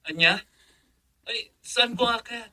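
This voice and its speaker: A man's voice: extremely high pitch, takes it slowly, bright, forceful tone, full character voice, rough recording. A man playing a fond, teasing uncle in an Ilocano radio drama, with an Ilocano Filipino accent. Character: fond, teasing uncle giving his niece advice.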